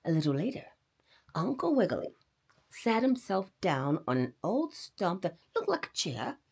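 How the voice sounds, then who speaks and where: A woman reading a children's story aloud, dramatic and expressive, dropping at times into a hush. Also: fairly low pitch, moderate pace, slightly rough.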